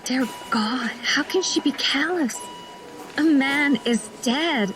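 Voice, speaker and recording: An actress playing a new young female nurse who is blunt and sharp-tongued. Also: high voice, slow pace. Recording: noisy background.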